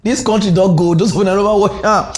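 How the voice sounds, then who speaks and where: A man with a Nigerian Pidgin accent hosting a comic mock news segment, ranting in an animated, mocking way. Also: high-pitched, fast, a little hiss or hum. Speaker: host.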